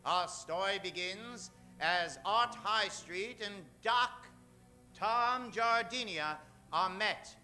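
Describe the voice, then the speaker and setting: A man hosting and announcing in theatrical old-time radio style, dramatic, drawn-out and emphatic. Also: high voice, bright, forceful tone, faint music behind. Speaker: host and announcer.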